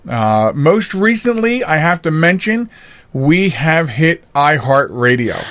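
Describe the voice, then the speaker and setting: A man's voice: on the high side, big pitch swings, takes it slowly, fairly bright tone, no echo. A man hosting a podcast in casual, chatty, rambling and jokey style. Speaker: podcast host. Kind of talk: casual chat.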